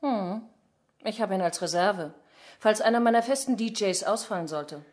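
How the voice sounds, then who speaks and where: A young woman played by an actress speaks at a medium pitch as a disco owner in a crime radio play. She is guarded and direct. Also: moderate pace, darkish tone, slight background noise, natural voice. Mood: wary, blunt. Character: young female disco owner, wary and blunt.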